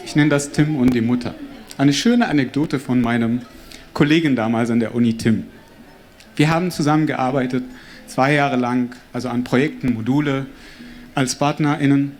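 Animated man performing to a crowd. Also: moderate pace, faint music behind. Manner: animated, addresses the crowd directly, swings pitch widely. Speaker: stage performer. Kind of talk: spoken word performance with audience. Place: stage before a live audience.

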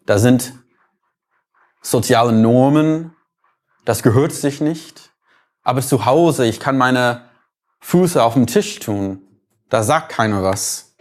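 A man's voice: medium pitch, expressive, slow pace, long pauses. American man lecturing in careful German. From United States. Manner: thoughtful, searching for words, everyday examples. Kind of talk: explanatory lecture.

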